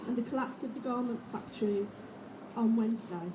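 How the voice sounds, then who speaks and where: A woman's voice: fairly high pitch, a little fast, reverberant, distant mic, background noise. A woman reading a prepared speech at a rally and introducing the next speaker. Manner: steady, reading from notes. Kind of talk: read-out speech.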